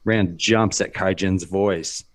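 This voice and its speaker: A man reading screenplay stage directions as narrator, in a measured, deliberate manner. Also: deep voice, fairly even intonation. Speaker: narrator of a script read.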